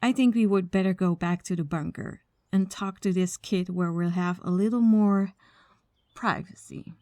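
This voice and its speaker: A woman playing a commanding, mocking mafia boss in an ASMR audio drama, speaking deliberately with condescension and quiet menace. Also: fairly low pitch, close to an everyday voice. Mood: mocking, menacing.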